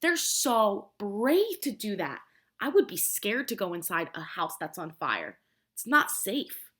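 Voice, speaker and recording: Lively, high-voiced woman reading to preschoolers. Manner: expressive, simple explaining, prompting children with questions. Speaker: preschool teacher. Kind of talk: children's picture book read-aloud with questions to young listeners.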